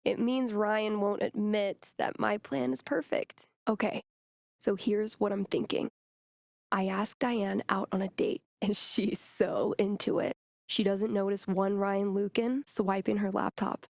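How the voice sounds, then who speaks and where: Wry, unhurried young American woman. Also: mid-range voice, vocal fry, no room sound, studio quality. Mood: playful scheming. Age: young adult. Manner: casual, teasing, deadpan. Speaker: video game voice actress. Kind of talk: naturalistic game dialogue.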